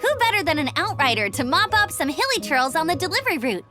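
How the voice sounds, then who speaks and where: A woman's voice: very high voice, very animated, fast talker, pushed and bright, heavily acted. An actress playing a young female scout who is perky, eager and confident, over game music. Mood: upbeat.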